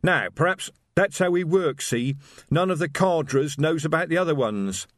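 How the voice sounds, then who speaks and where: A man's voice: on the high side, expressive, normal speed, no room sound, clean studio sound, pitched above the performer's own voice, natural voice. A man voicing a fervent, paranoid young revolutionary who talks intensely and suspiciously, in a storybook reading.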